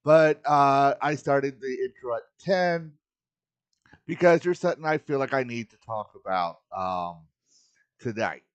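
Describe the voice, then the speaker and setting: A man's voice: medium pitch, expressive, measured pace, clean tone. A man hosting a live game stream, talking earnestly and casually while greeting his chat. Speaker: livestream host.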